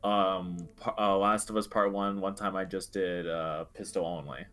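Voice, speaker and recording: A man co-hosting a casual chat about video games, speaking in a halting, understated way. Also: deep voice, steady tone, fairly bright tone. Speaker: podcast co-host. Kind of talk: casual video game discussion. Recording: music playing behind the voice.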